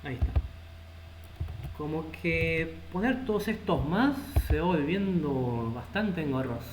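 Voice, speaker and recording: A man's voice: a little high, animated, measured pace. A man with a Rioplatense Spanish accent teaching a live coding lesson, thinking aloud as he types. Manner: thinks aloud while typing code. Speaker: programming instructor. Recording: echoey room with loud background noise.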